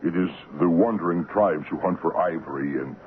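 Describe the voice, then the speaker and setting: A very deep-voiced African man played by an actor, courteous and patient with something quietly ominous underneath. His English is broken and deferential, delivered in a measured radio-drama manner on a muffled, noisy old broadcast. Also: natural voice. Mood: polite, deferential. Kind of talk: 1970s radio drama acting.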